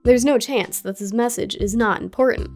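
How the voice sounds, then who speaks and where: Gaming YouTuber, woman, fairly high voice, curious and playful scripted narration over soft music. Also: moderate pace. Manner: curious, playful, with casual asides. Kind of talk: scripted video essay narration.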